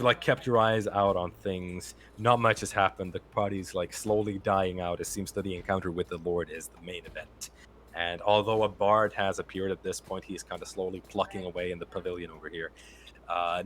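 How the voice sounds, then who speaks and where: A man narrating a tabletop game as game master, relaxed and full of fillers. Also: deep voice.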